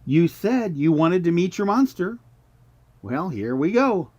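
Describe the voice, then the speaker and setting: An actor playing a sinister, knowing guide who coaxes people toward their inner monster in a radio mystery drama. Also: male voice, high voice, very animated, a little slow, darkish tone, dull audio, heavily acted. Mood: gloating. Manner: coaxing, knowing, theatrical. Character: smooth-talking sinister male guide to one's inner monster.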